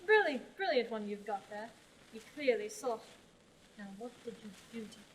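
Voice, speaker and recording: Young woman playing a high-voiced, sardonic witch. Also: lively intonation, normal speed, a little hiss or hum, lightly acted, rough recording. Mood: cold, mocking. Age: young adult. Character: sardonic, menacing witch, breezily ruthless. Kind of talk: amateur fantasy radio play.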